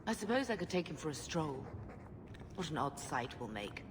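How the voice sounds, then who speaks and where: A gentle middle-aged mother with a slightly low voice. It is video game dialogue, with loud background noise and dog sounds around her. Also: big pitch swings, moderate pace. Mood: fond. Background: dog, loud ambience.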